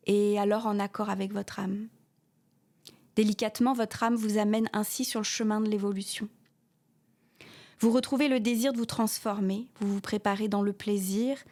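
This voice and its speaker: A woman guiding a yoga nidra meditation, speaking softly with pauses and repeated soothing phrases, often trailing off into a breathy whisper. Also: medium pitch. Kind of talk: guided meditation with a read inspirational text.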